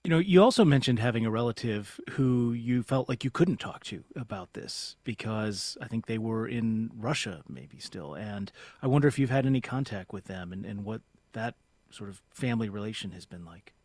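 A man hosting a conversational news interview, asking questions in a measured, tentative way. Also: lowish voice, expressive, a little mellow, dry recording. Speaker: radio interviewer.

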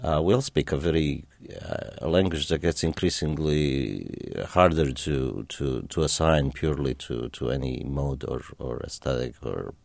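A man from Romania whose first language was German, a radio guest talking in a reflective, halting way with many fillers. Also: very deep voice, vocal fry, darkish tone, no echo. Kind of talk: call-in radio interview.

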